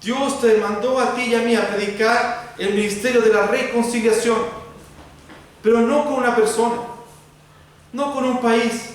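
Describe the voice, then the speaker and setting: A Chilean pastor with a high voice preaches to his congregation in a church sanctuary, building his points through repeated parallel phrases. He stands far from the microphone, and his voice bounces around a very echoey room over a faint background hiss. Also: steady tone, measured pace. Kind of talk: expository sermon.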